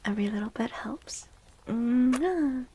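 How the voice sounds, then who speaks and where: A woman livestream host speaking softly in an ASMR style, hushed and gentle, often slipping into a near-whisper close to the microphone. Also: a little high, lively intonation, slow pace, slight background noise, poor audio. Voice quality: soft, breathy. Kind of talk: soft-spoken ASMR livestream chat.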